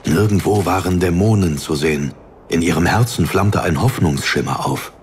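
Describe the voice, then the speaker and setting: A man narrating a fantasy audiobook in a dramatic, solemn, deliberately phrased style. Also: low-pitched, slight background noise.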